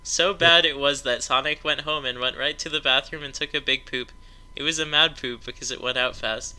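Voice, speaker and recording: A man's voice: mid-range voice, fairly even intonation, brisk, pushed and bright, low-quality recording. A man narrating a comedic story aloud to friends, prone to breaking into laughter as he reads.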